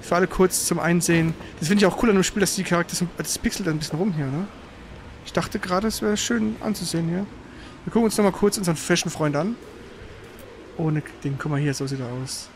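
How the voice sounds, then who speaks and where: Guy streaming a game, high voice, muttery casual commentary, noisy behind. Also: fast. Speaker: gaming streamer, player. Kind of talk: casual live gameplay commentary.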